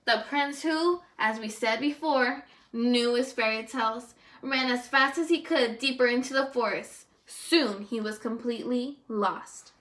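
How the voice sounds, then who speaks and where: A woman teaching first graders through a read-aloud lesson. Her voice is fairly high and bright, and she is expressive, addressing the pupils directly. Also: a little slow, few pauses.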